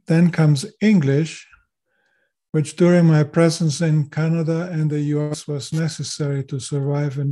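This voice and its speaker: An older man raised in Chile, a native German speaker, telling his story in a measured, formal way as a podcast guest. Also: on the high side, warm tone. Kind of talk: podcast interview.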